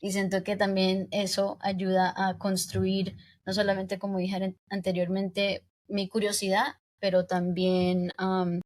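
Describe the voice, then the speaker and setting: A young woman raised in the United States, a podcast guest speaking reflectively in a casual interview, pausing often with fillers. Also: medium pitch, monotone, darkish tone. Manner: reflective, hesitant with many fillers.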